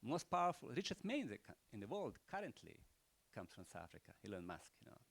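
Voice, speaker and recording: A man's voice: medium pitch, wildly swooping pitch, quick pace, vocal fry. An older man with a Croatian accent giving rambling, unscripted closing remarks at a panel event, full of rhetorical questions and "you know".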